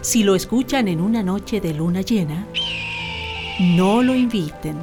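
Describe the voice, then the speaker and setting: Narradora chilena de radioteatro con música. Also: female voice, medium pitch, animated, measured pace. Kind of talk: scripted dramatic narration. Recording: clear music bed under narration.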